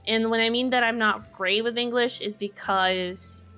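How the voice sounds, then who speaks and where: A woman in her twenties from Mexico with a Mexican Spanish accent, a podcast guest speaking casually in English, her second language, pausing and restarting to find her words. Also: on the high side, fairly even intonation, bright, forceful tone, clear, resonant tone, soft background music. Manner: thoughtful, searching for words, many restarts. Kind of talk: casual conversation.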